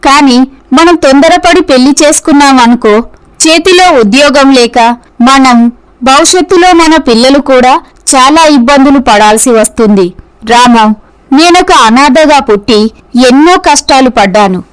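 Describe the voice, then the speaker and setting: A gentle young orphan woman played by a female voice actor in a moral tale. She is cautious and practical, with a high-pitched voice. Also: a little slow, rough recording. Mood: cautious, resigned.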